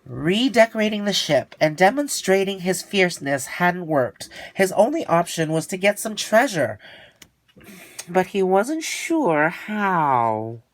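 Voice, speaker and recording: A man reading a children's storybook aloud in an expressive style, with theatrical, drawn-out emphasis. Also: high voice, no echo.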